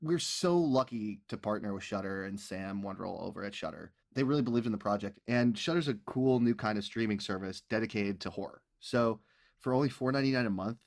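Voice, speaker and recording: A man hosting a podcast, giving a casual, bantering sponsor promo. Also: fairly low pitch. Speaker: podcast creator and host reading a sponsor promo.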